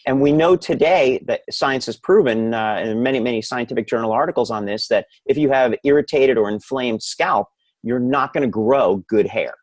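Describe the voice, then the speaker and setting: A man's voice: medium pitch, moderate pace, dry recording. A man speaking as an expert physician guest, explaining his field conversationally in an interview.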